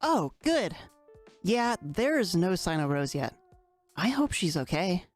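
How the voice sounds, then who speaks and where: A woman's voice: fairly low pitch, sing-song, measured pace. A woman voicing a skeptical, exasperated teenage boy in a fan-dubbed comic chat, dry, wordy and argumentative. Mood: mildly worried. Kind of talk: fandub of a webcomic chat. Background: music.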